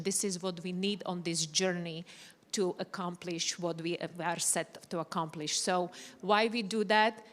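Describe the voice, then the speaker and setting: A woman from Slovakia, a native Slovak speaker, talking unscripted on a panel with enthusiasm and emphatic repetition. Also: fairly low pitch. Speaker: company representative on panel. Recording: reverberant conference hall.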